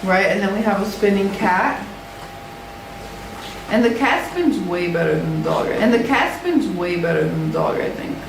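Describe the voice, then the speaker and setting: A woman's voice: mid-range voice, animated, normal speed, far from the mic. A woman from New York City, a ceramic artist and art teacher, chatting casually on a livestream and often checking that listeners can hear her. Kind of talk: casual livestream show-and-tell. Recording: echoey livestream audio from afar with noise.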